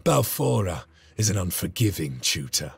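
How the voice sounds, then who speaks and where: An actor playing a stern, battle-weary male space marine veteran in a game cutscene, solemn and commanding, with a gravelly, rasping voice. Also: sits low, sing-song, normal speed, dry recording, full character voice. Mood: solemn, brooding. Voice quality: gravelly, very raspy. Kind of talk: dramatic video game cutscene acting.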